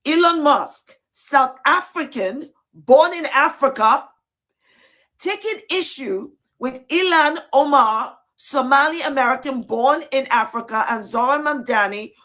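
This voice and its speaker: Woman commentator, indignant, cutting, fairly high voice. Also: a bit bright. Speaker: video commentator. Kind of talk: political commentary video.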